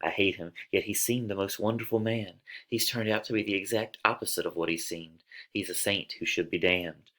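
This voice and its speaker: Lovesick young girl in a stage tragedy, acted by a man, low-pitched, declamatory, emotional. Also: steady tone, fairly quick, pushed and bright. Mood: bitter. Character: lovesick young girl, impatient, then anguished and grieving. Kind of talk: dramatic reading of a modernized stage tragedy.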